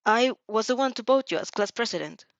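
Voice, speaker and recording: A woman's voice: a little high, lively intonation, fairly quick, no room sound, dull audio. A woman voicing a caring, determined young heroine student in an earnest, emotional audio story.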